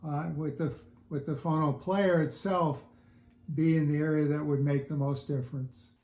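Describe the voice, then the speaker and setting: Older male interview guest, higher-pitched yet soft-toned, halting and thoughtful, recorded in an echoey home room. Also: steady tone. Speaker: interview guest, audiophile hobbyist. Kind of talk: casual interview chat. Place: indoors at home listening room.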